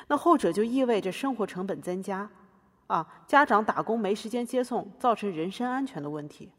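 Woman as a husky, sardonic activist artist. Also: medium pitch. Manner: argumentative, explanatory, ironic. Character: sardonic female artist-activist arguing her case in court. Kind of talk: scripted satirical monologue in a podcast drama. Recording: reverberant room.

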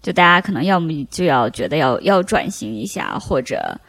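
A woman's voice: low voice, big pitch swings, a little fast, fairly bright tone. A woman hosting a podcast, asking questions in casual interview chat. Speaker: podcast host.

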